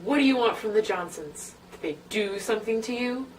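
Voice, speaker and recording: A woman's voice: mid-range voice, animated, a little fast, natural voice. A woman playing an anxious, questioning young woman at a séance in an amateur script read-through. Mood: worried. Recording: roomy, distant mic with faint noise.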